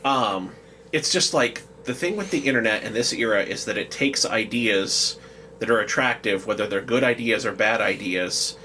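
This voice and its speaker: A man's voice: fairly low pitch, normal speed, sharp tone. Male podcast co-host over noticeable background noise. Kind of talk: casual discussion, at times reading out a quote.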